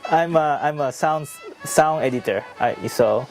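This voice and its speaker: A woman hosting a live-stream interview, speaking haltingly with many restarts. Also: low voice, darkish tone, faint music behind. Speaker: host and sound engineer.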